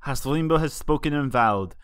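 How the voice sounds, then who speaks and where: Dude playing a stately pony princess of the night, formal old-timey wording, gracious, dry audio. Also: male voice, on the high side, lively intonation, normal speed, a bit bright, clear, resonant tone, dry recording. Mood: kindly. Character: regal, gracious dark alicorn pony princess, female. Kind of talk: fan fiction audiobook character voice.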